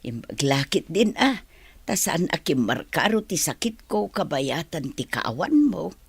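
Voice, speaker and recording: A woman's voice: low voice, very animated, measured pace, dry recording, exaggerated voice. A woman playing an ailing, plaintive old mother who longs for her child in an Ilocano radio drama. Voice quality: husky, creaky.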